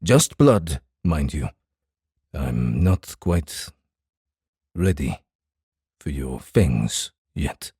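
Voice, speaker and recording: A man playing a calm, guarded and commanding samurai in a fantasy audiobook, speaking formally and deliberately. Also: a bass voice, breathy edge, dry recording, clean studio sound, heavily acted. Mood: grave, guarded. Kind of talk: dramatized fantasy audiobook acting.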